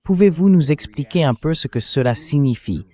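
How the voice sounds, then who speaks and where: A man's AI-generated voice dubbing an interview host, asking questions in a measured, inquisitive way. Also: fairly high pitch, animated, mellow. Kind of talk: dubbed interview questions read by AI voice.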